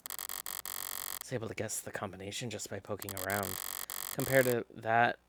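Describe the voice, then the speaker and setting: A streamer voicing a wry, practical investigator in a visual novel, dry, measured and understated. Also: mid-range voice, fairly even intonation, background noise, pitched below the performer's own voice, close to an everyday voice. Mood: dry, confident.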